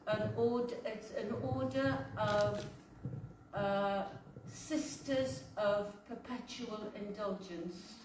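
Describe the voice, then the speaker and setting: A woman's voice: mid-range voice, extremely slow. A woman in the audience asking probing questions in a hesitant way. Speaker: audience member. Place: chapel hall. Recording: echoey room from far off with background noise.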